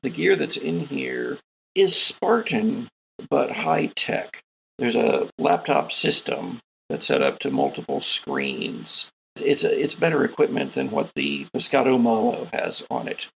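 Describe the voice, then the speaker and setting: A man with a gravelly, husky voice, narrating a tabletop role-playing game as game master in an unhurried, descriptive way. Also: medium pitch, big pitch swings, a little hiss or hum, rough recording.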